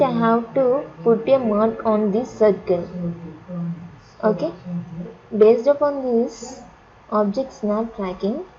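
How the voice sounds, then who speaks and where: A woman teaching drafting software step by step, halting and often repeating and rephrasing herself. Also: a little high. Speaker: software instructor. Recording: dull lo-fi sound, crowd chatter behind.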